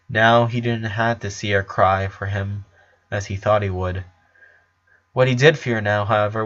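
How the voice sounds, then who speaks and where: A man's voice: lowish voice, a bit bright. Man narrating fiction, slightly muffled.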